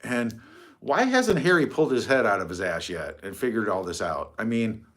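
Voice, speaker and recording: A man's voice: lowish voice, big pitch swings, moderate pace, a bit bright. A middle-aged man hosting a casual livestream from his phone, rambling, mocking and swearing freely. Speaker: livestream host.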